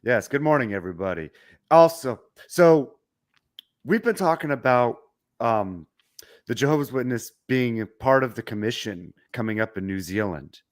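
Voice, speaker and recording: A man co-hosting a casual news commentary podcast, hoarse from a sore throat, blunt and prone to restarting his sentences. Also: medium pitch, expressive, moderate pace, no echo, studio quality. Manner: blunt, restarts and repeats words. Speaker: podcast co-host.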